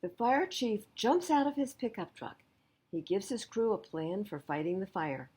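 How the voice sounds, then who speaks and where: A woman reading a picture book aloud to young children as a library storyteller, pausing to explain things simply. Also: medium pitch, animated, a little mellow, breathy edge.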